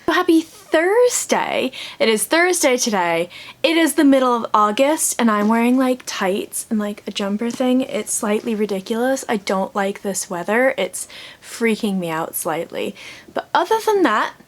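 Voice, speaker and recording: A woman with a fairly high voice talks casually, as in a personal reading vlog. She rambles along in a chatty, informal way and is quick to laugh at herself. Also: lively intonation. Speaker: book vlogger and podcast co-host.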